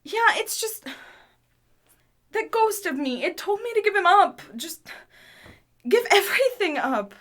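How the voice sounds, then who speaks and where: A woman playing a scrappy young wanderer who acts tough but is soft-hearted, talking casually in an audio drama. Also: very high voice, big pitch swings, unhurried, long pauses, heavily acted. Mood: downcast, defensive.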